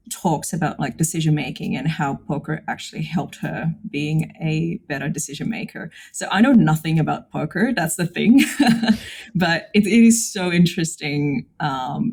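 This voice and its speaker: A woman speaks as a podcast guest. Her voice sits on the low side and has a very mellow, dark tone. She talks casually, in a conversational interview style. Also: gravelly. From Indonesia.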